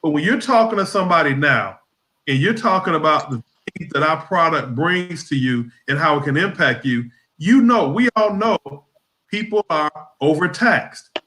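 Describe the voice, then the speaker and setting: Bright, measured man on a video call. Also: a little high, animated. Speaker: guest on training call. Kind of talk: casual explanation on video call. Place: home room on video call. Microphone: webcam or laptop mic on video call. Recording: echoey room.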